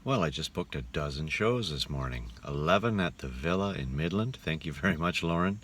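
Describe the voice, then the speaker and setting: A man's voice: extremely low pitch, expressive, brisk, a bit bright, slightly rough. A man who works as a travelling entertainer, casually narrating his trip as a vlog. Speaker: travelling musical entertainer and vlogger. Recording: noisy on-location sound, road and ambient noise.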